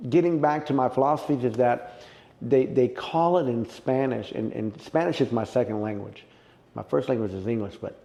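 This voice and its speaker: A middle-aged man with a mellow, gravelly voice, talking jiu-jitsu in a relaxed interview. He tells his stories with plenty of feeling and jumps up in pitch and volume when something excites him. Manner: animated, emotional storyteller who gets loud and high when excited. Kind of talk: casual podcast interview.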